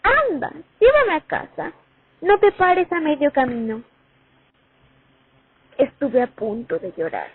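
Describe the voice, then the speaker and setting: A high-voiced female war veteran, played by an actress tells her memories of the front with lively, dramatic swings in a radio play. The sound is tinny and phone-like. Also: slow pace, pauses often, heavily acted. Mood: excited, pleading, then nostalgic, moved. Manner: reminiscing, expressive, dramatic. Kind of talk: radio play monologue, first-person testimony. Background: music.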